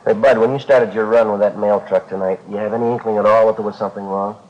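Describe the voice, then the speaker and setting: An actor playing a terse, businesslike police detective sergeant who narrates and questions in a clipped, deadpan way in a 1950s radio drama. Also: male voice, lowish voice, fast, natural voice. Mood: probing. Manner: clipped, deadpan, matter-of-fact. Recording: dull, faintly noisy 1950s radio recording.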